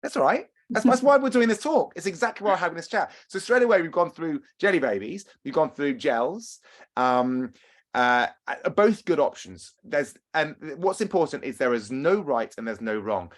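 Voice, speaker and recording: A man's voice: a little high, animated, normal speed. A man coaching runners in an upbeat, chatty webinar, often asking his audience questions. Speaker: running coach. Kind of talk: webinar talk and Q&A.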